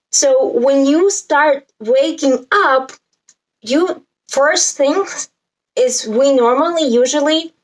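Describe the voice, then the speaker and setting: Slow, higher-pitched Latvian-accented young woman. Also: rough recording. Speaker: spiritual self-help vlogger. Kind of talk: casual talk to camera, explaining a list.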